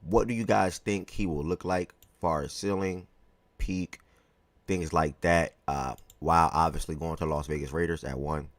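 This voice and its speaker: A man hosting a live sports talk stream, chatty and relaxed, greeting viewers by name, his voice a little hoarse. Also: very deep voice, normal speed, dry recording. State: slightly hoarse from yelling the day before. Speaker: live show host.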